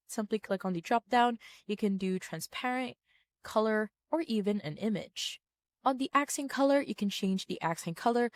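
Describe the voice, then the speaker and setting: A woman narrating a step-by-step software tutorial in an orderly, plain-spoken, instructional way. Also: medium pitch, no room sound. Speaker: tutorial presenter.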